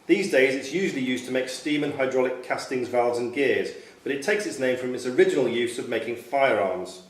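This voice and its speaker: A man's voice: mid-range voice, brisk. A man reading quiz questions aloud as quizmaster at a live event. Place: function room at a club quiz night. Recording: roomy, distant mic, faint noise, clicks.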